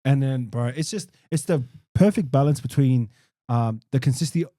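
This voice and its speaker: A man's voice: medium pitch, moderate pace, no room sound, studio quality. A man from Australia co-hosting a podcast, chatting casually and repeating his points with lots of "bro". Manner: repetitive, animated, calls others bro. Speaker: podcast co-host. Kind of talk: casual chat.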